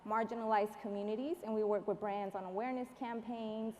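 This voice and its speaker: A woman's voice: medium pitch, fairly even intonation, a little fast, a little mellow. A confident woman from Mexico speaking proudly as a panelist at a live panel discussion. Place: large hall stage. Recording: reverberant hall with faint ambience.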